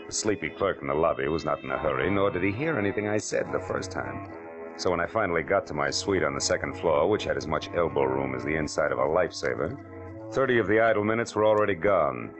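An actor playing a wry, hard-boiled American private detective in an old-time radio drama, terse and sardonic. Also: male voice, extremely low pitch, animated, quick pace. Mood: wry narrating. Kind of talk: 1940s radio noir narration and acting. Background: music.

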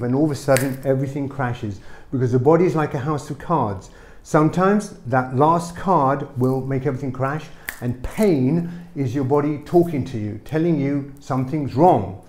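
A middle-aged man, a chiropractor, explaining a treatment lively and emphatically in a small clinic room. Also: mid-range voice.

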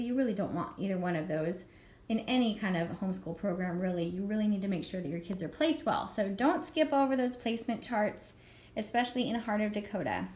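A woman in her thirties with a slightly hoarse voice from a cold, chatting enthusiastically at home while showing homeschool books to a camera. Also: mid-range voice. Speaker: homeschool curriculum reviewer. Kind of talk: casual show-and-tell review. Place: home room with a world map.

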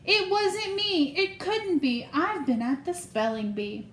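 A woman's voice: very high-pitched, slow pace, a bit bright, slight background noise, pitched above the performer's own voice, heavily acted. A woman voicing an accused storybook animal protesting its innocence in singsong rhyme, in a roomy recording. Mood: defensive.

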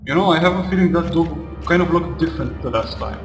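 A man's voice: a little high, animated, fast. A man joining in on a gameplay video, teasing his friend with crude jokes. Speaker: co-commentator. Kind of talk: casual banter over gameplay. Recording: echoey room with game music behind.

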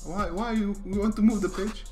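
A man playing a soccer game with a friend, excitably commentating and laughing as he goes. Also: high voice, fairly quick, soft background music. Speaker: gaming channel co-host. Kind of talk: casual gameplay commentary. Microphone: desk mic, no camera.

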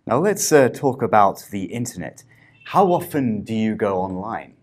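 A man's voice: fairly low pitch, sing-song, normal speed. A man in his thirties acting as examiner, asking formal scripted questions in a small office.

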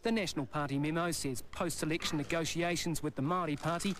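Kiwi male reporter doing a news voiceover, fairly high voice. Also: steady tone, quick pace, a bit bright, a little hiss or hum. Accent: New Zealand. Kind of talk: scripted report narration.

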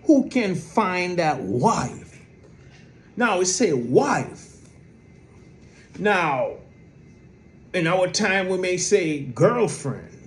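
A soft-voiced man delivering a sermon, his pitch riding high and swinging widely. He takes his time, and he talks to the congregation in a chatty, easygoing way. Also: drawn-out words, long pauses. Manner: lively, conversational preaching with fillers. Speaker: preacher.

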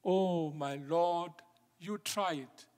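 A middle-aged male minister preaching a sermon from the pulpit, in a measured style that sometimes drops to a near whisper for emphasis. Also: on the high side, a little mellow. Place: large wooden church sanctuary, at the pulpit. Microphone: pulpit microphone. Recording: long church reverb.

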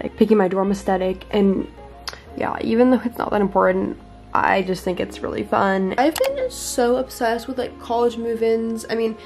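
A stuffy-nosed young woman in her late teens talks straight into a camera held at arm's length in a sunny room at home. Her chat is casual and upbeat, packed with "like", and her voice sits at a middle pitch for a woman. Faint music plays underneath. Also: darkish tone. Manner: chatty, upbeat, lots of "like". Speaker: vlogger. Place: sunroom at home, indoors.

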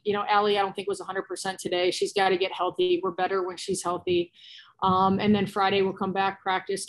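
A woman's voice: mid-range voice, poor audio. A woman in her thirties with an American accent, a coach giving matter-of-fact, colloquial answers at a postgame press conference over a video call. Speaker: basketball coach. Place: small cinder-block room indoors. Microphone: laptop or phone on a video call.

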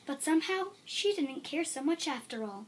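A woman reading a children's storybook aloud in an expressive way, acting out the emphatic words. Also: high voice, moderate pace. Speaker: storybook reader.

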